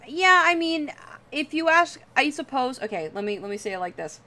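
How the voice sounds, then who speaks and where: A woman with a very bright, forward voice hosts a casual livestream. She rambles in an off-the-cuff, self-deprecating way and swears freely as she chats. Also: sits high, sing-song, moderate pace. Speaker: livestream host. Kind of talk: casual livestream chat while drawing.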